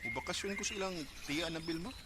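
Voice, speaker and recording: A man's voice: fairly high pitch, expressive, quick pace, close to an everyday voice. A man with a Bisaya accent playing an eager, lovestruck young rural student son in a radio drama. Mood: cheerful, pleading. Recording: very noisy radio drama recording.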